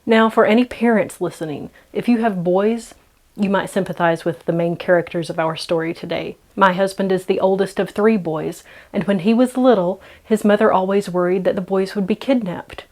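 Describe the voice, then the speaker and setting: A woman's voice: medium pitch, fairly even intonation, normal speed, a little mellow. A woman storyteller narrating in a dramatic, scripted read-aloud style.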